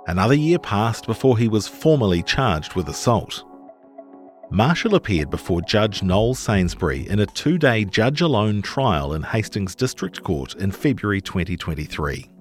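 A man with a New Zealand accent reading scripted journalistic narration for a podcast. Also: on the low side. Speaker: narrator of a long-read podcast.

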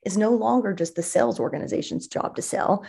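A woman's voice: mid-range voice, brisk, creaky, mellow, breathy edge. A woman speaking as an interview guest, explaining things conversationally and enthusiastically, repeating words for emphasis. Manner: emphatic word repetition. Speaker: interview guest, marketing executive.

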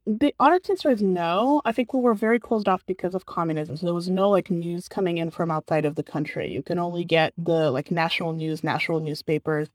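A woman's voice: on the low side, fairly quick, few pauses, no room sound. A woman from Bulgaria, a guest in an interview, talking earnestly in a casual way with lots of "like". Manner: earnest, many fillers like "like". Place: San Francisco.

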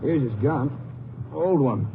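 An actor playing a matter-of-fact, observant Texas Ranger partner with clipped, folksy speech in an old-time radio drama. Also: male voice, on the high side, expressive, takes it slowly, creaky, slight background noise, heavily acted. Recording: narrow-band old radio recording with music.

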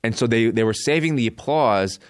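A man with a Canadian accent telling a personal story casually as an interview guest, in short halting phrases. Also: medium pitch, expressive, no echo, clean studio sound. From born in Korea, raised in Canada.

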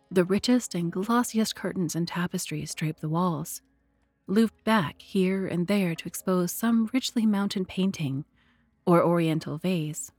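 Low-voiced woman narrating a classic story. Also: darkish tone, dry recording. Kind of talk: dramatic scripted literary narration.

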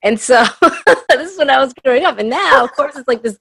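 A woman's voice: high voice, lively intonation, a little fast, creaky, fairly bright tone, no room sound, studio quality. A woman with Spanish as her first language, from Peru, chatting over a video call as an interview guest and telling stories with lots of fillers. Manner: chatty storyteller, many fillers. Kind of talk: casual interview answers.

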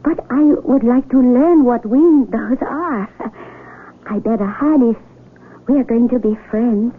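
A woman's voice: a little high, slow, slightly rough. A woman acting in a radio drama as an earnest, sheltered young blind villager who speaks in simple, formal words. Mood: friendly, wondering. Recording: tinny radio broadcast with faint noise.

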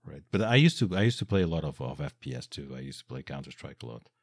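Interviewer: a man with a deep, husky voice and a non-native English accent. Rambling and eager. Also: big pitch swings, fast talker, no echo, clean studio sound. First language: not English. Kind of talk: interview conversation.

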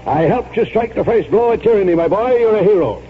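Pompous high-voiced old army colonel. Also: male voice, lively intonation, brisk. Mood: grandiose, combative. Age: older. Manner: pompous, oratorical, military. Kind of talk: 1940s radio acting. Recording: tinny, noisy 1940s radio recording.

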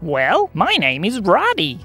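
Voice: mid-range voice, wildly swooping pitch, sharp tone, husky, faint music behind, full character voice. Roddy, a storyteller doing a tiny jittery carrot man. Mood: bewildered. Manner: slow, wondering, childlike questions.

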